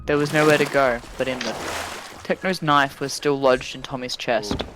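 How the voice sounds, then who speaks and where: A man's voice: mid-range voice, fairly even intonation, normal speed, noisy background. A man doing a dramatic story reading over voice chat, dropping into casual, sweary asides with friends. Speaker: reader of a fan fiction story. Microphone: voice chat microphone.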